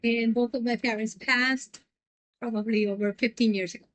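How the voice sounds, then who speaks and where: Middle-aged woman from Puerto Rico, earnest interview guest, halting delivery, slightly high pitch. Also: fairly even intonation. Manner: earnest, many ums and restarts. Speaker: nonprofit president, guest. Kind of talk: reflective interview answers.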